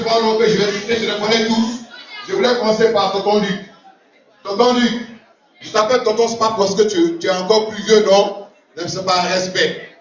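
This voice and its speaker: A man giving a jovial, laughing speech as sponsor at a community gala, often repeating himself. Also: sits high. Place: large event hall. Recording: roomy, distant, lo-fi recording with crowd. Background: crowd of guests.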